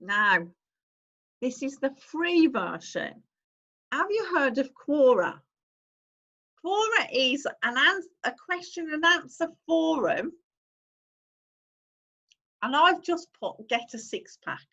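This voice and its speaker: A woman's voice: high-pitched, big pitch swings, slow, long pauses, fairly bright tone, dull audio. A woman, hosting a casual screen-share tutorial, chatting as she clicks through steps and reads on-screen text aloud. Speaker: online-income YouTuber host.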